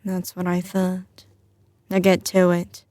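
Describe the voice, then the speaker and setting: A woman's voice: fairly low pitch, monotone, slow pace, dark tone, dry recording, studio quality. A woman with a slight Southern US drawl playing a doting but ruthless mafia boss girlfriend in an intimate ASMR roleplay. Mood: cold, commanding. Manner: drawling, teasing, sliding between commanding and tender. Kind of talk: ASMR audio roleplay, intimate scripted acting.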